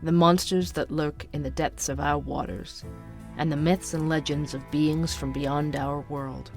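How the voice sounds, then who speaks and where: A man's voice: a little high, fairly even intonation, unhurried, soft background music. An actor playing an earnest, curious young man who hosts an amateur podcast, narrating an audio drama in a musing way. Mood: brooding, poetic.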